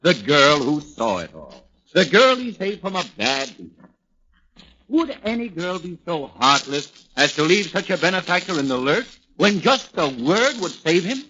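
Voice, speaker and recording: Fiery high-pitched American prosecutor, forceful. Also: male voice, sing-song, unhurried, exaggerated voice. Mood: scornful, insistent. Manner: oratorical, rhetorical, sardonic. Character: fiery theatrical prosecutor addressing a jury. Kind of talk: 1940s radio acting, courtroom oratory. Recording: dull, noisy 1940s radio recording.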